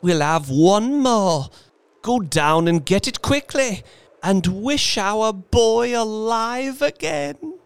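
Guy doing a frantic old lady, bereaved mother in a story, high wild cries, swoops all over. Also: animated, takes it slowly, creaky, smooth, no room sound, heavily acted. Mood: triumphant urgency. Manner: wild, feverish, crying out. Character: hysterical, grieving old woman, a desperate bereaved mother.

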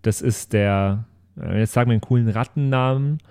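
A man's voice: on the low side, normal speed, a little mellow, clean tone. A man in casual chat as a tabletop role-player, thinking aloud a little hesitantly.

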